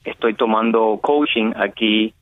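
A man's voice: fairly low pitch, unhurried, no echo. Cuban guy on a muffled line, guest actor rambling with lots of fillers, dry. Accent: Cuban Spanish. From Cuba. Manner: laughs often, rambling with many fillers. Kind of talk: casual interview chat.

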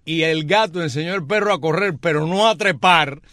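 A playful man hosting a chatty talk show, his voice sitting a little high and close to the mic with almost no room around it. He teases his co-host, savors odd slang words and reads out listener messages in a loose, joking way. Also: normal speed, pushed and bright, no echo. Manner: jokey, teasing, plays with words. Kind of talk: playful casual radio chat.

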